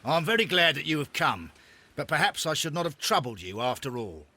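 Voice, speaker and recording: Victorian police inspector, husky, forceful, animated intonation, deferential, matter-of-fact, video game cutscene. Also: male voice, fairly high pitch, fairly quick, no echo, full character voice. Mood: earnest, matter-of-fact. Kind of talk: scripted video game acting.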